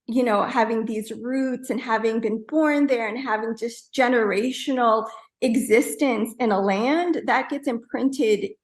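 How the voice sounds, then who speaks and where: A woman's voice: a little high, a little slow. Earnest woman designer in an echoey room. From Afghanistan. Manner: earnest, many fillers like "you know" and "um". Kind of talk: reflective storytelling in an interview.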